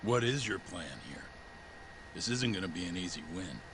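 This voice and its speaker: An actor playing a gruff male colleague in a crime drama game, talking in a blunt, teasing way. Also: low voice, expressive, normal speed. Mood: blunt, questioning. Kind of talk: cinematic video game dialogue. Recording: clear background ambient noise.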